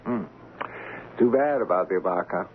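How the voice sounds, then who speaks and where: A man playing a self-assured, dismissive shipping company boss with a measured, genteel manner in an old radio drama. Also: sits low, natural voice. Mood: smug, cordial. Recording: tinny old radio recording, faint noise.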